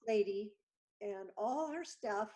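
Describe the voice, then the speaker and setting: A woman guest chatting over a video call, telling stories with lively acted-out dialogue. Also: medium pitch, slow pace. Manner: animated storyteller, acts out dialogue. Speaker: guest artist. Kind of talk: casual video chat.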